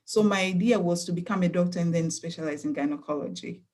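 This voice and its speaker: A woman in her fifties from Tanzania, talking thoughtfully as an interview guest with many pauses and restarts. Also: lowish voice, a little mellow. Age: 50s. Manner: thoughtful, hesitant with fillers and restarts. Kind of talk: conversational interview.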